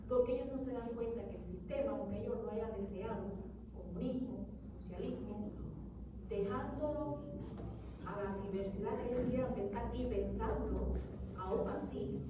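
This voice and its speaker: A middle-aged woman from Cuba with a Cuban accent, giving earnest, halting impromptu testimony at a public event. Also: fairly high pitch. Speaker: audience member giving personal testimony. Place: event hall, recorded from a distance. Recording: echoey hall, distant mic, noisy background.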